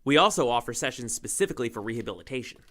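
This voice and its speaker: A man plays a bumbling, eager young lab assistant in an audio drama. His mid-pitched voice swings around animatedly as he stammers, second-guesses himself and awkwardly tries to help. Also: animated, very fast, a bit bright, natural voice. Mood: helpful, flustered. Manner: flustered, stammering, self-correcting.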